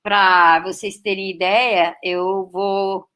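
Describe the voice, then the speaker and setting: A woman in her late fifties with German as her first language, giving a reflective personal talk to an audience, pausing often and restarting her sentences. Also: medium pitch, flat delivery, pushed and bright, a little hiss or hum. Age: 50s. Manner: slow, reflective, with many pauses and restarts. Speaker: guest speaker.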